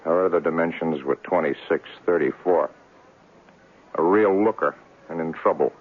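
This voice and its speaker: An actor playing a tough, cocky private investigator in a 1970s radio drama, talking in clipped slang. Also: male voice, low voice, normal speed, husky. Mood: hard-boiled, narrating. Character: tough hard-boiled male private investigator, cynical and cocky. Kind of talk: 1970s radio drama acting, noir narration. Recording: muffled old radio broadcast.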